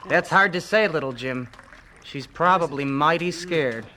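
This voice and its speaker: A man's voice: on the high side, measured pace, bright, forceful tone. A male actor playing a kindly, responsible older boy looking after younger friends in a 1970s radio drama. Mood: helpful. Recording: noisy old radio recording with clicks.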